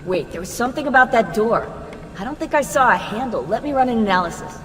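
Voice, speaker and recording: Game tech operative, female actor: practical, casual and direct, voice a touch high, long reverb. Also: animated, quick pace, a little hiss or hum, lightly acted. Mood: puzzled. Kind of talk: video game cutscene dialogue. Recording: long reverb, faint music behind.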